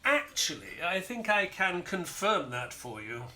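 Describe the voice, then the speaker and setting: Affable male doctor, highish voice, very varied pitch, polite, hesitant, long-suffering sidekick, audio play. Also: very animated, a little slow, bright, forceful tone, heavily acted. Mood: earnest, curious. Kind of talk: audio play acting and narration.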